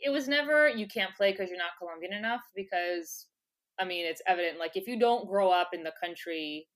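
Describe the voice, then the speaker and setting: A woman's voice: on the low side, brisk, fairly bright tone. A woman talking casually as a podcast guest, chatty and laughing often, with lots of filler words. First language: English. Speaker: interview guest, former soccer player. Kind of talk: casual conversational interview.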